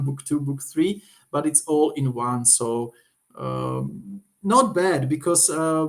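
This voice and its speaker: A man from Slovakia with a Slovak accent gives an informal, unscripted review, halting as he searches for words. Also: a little high, creaky. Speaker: occult book reviewer.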